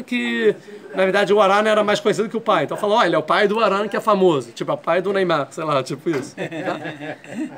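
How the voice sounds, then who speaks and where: Man teaching a torah class, high voice, patient, walks through each point. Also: fairly bright tone. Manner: explains step by step, checks in with "tá?". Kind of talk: classroom lecture.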